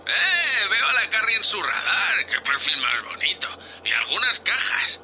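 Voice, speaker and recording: A man playing an eager, cheeky young spaceship crewman in an audio drama, chatty and excitable. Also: high-pitched, measured pace, faint music behind, exaggerated voice. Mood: excited. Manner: excitable, chatty, playfully impudent. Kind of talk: audio fiction acting.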